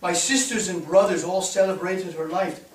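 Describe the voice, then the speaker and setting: Defensive, reminiscing drinker, acted by a man, high voice, stage reading picked up from a distance. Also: normal speed, lightly acted. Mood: halting. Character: hesitant, defensive drinking man looking back on his past. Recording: echoey stage, distant mic.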